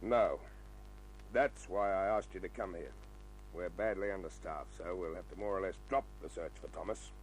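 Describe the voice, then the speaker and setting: A weary lawman in an old radio crime serial. He speaks in a deep voice with a sober, businesslike manner. A faint hum runs under the vintage recording. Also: moderate pace, low-quality recording. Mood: sober, resigned. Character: weary, businesslike male police officer, sober and cautious. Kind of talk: old-time radio drama acting.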